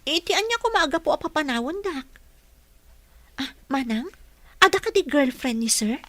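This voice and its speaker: A woman playing a patient, dutiful caregiver in a radio drama, speaking politely and gently giving instructions. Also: high-pitched, sing-song, normal speed, no room sound. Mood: worried. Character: patient, dutiful young female caregiver coaching a patient. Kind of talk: Ilocano radio drama acting.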